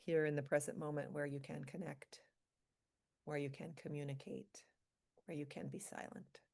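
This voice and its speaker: A woman leading a guided meditation for a group, speaking calmly and slowly in short, spaced phrases. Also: low voice, vocal fry. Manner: calm, slow, pausing between short phrases. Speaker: meditation leader, physician.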